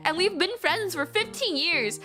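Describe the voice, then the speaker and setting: A young woman in her twenties streams and rambles through a family story in a high, light voice. She talks in a chatty way, piles on "like" and stretches out the lines she quotes for effect. Her tone is bright and clear, with soft music under it. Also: lively intonation. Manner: chatty, full of "like" fillers, acts out family quotes with slowed emphasis. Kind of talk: casual storytelling on a livestream.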